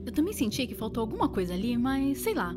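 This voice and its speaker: A sceptical grumbler of an inner voice, acted by a woman, Brazilian and world-weary. The pitch runs fairly high and swings widely, with music clearly behind this audio drama performance. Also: very animated, fast talker.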